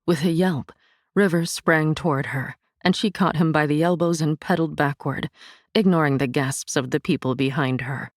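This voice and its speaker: A woman narrates literary fiction in a measured, vivid storytelling manner. Her voice sits low, and the studio recording is close and dry. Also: no echo. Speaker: audiobook narrator. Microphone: studio mic.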